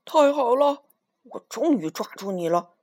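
A woman voicing a sly old male circus lion, slow and booming in a children's storybook reading. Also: animated. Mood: menacing.

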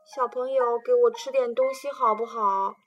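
A woman's voice: on the high side, steady tone, normal speed, highs cut off, soft background music, close to an everyday voice. A woman voicing a hungry songbird that pleads for food and praises its own singing. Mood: pleading. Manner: loud, sing-song pleading. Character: hungry songbird, proud singer of the garden.

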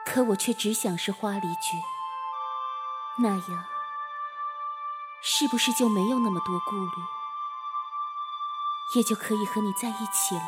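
A woman's voice: medium pitch, a little slow, pauses often, loud music behind the voice, natural voice. A woman acting a confident, teasing senior assassin with private wistfulness in a Chinese costume audio drama. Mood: wistful.

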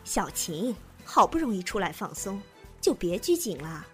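A woman acting a confident, sociable big-sister type in a radio drama. Her voice is medium in pitch, over faint music. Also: very animated, moderate pace, lightly acted. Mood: teasing, bold. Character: confident, bossy, sociable woman, elder of friends. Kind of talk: Chinese audio drama acting.

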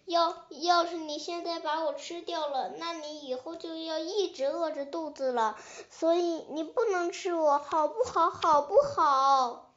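A woman's voice: extremely high pitch, unhurried, a bit bright, echoey room, highs cut off, pitched above the performer's own voice. A woman voicing a timid, sweet baby dinosaur in a storybook, whiny and pleading with a stammer. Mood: frightened pleading.

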